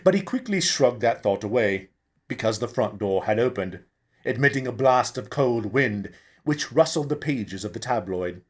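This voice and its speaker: A man reading a novel aloud as narrator, in an expressive, theatrical storytelling style. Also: medium pitch, moderate pace, breathy edge. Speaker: audiobook narrator, actor. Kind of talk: dramatic scripted novel narration.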